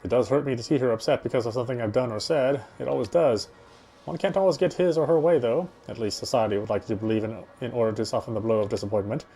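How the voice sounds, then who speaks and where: A man's voice: medium pitch, quick pace, mellow, close to an everyday voice. A man playing a wry, self-justifying British husband, confiding his brooding inner monologue as first-person game narration. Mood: resigned, reflective.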